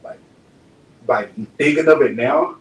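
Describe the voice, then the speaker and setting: A man's voice: medium pitch, unhurried. A young man from Dallas, a rapper and podcast guest, talking in a laid-back, slangy way, looping through filler phrases. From Dallas, Texas. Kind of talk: relaxed interview chat. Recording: echoey room, mic far off, faint hum.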